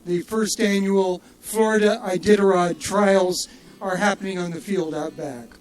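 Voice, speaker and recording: A man's voice: sits high, steady tone, measured pace, low-quality recording. An older man emceeing from an outdoor festival stage, giving casual, rambling announcements with many fillers and jokes at his own expense.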